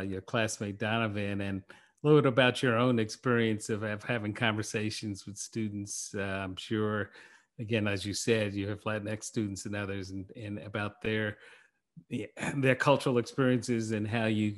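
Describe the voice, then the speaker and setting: A man's voice: lowish voice, steady tone, normal speed. A middle-aged man hosting a podcast interview on a video call, moving between guests with restarts. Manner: level, with restarts. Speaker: podcast host. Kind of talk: interview questions. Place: home office.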